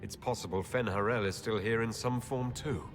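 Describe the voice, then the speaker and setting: A man's voice: lowish voice, moderate pace, music in the background, natural voice. A man playing a commanding, resolute and wry military leader in a video game cutscene.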